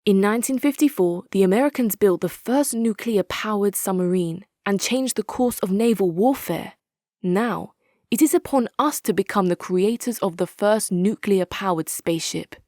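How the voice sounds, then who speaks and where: A hard-nosed woman commander, played by an actress for a strategy video game. She speaks in measured, authoritative phrases with a wry edge and a middle pitch. The sound is dry and close, free of room echo. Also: a little mellow, natural voice. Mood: resolute. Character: hard-nosed, articulate female military commander, dry and resolute. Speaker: video game faction leader. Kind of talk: dramatic scripted game quotes.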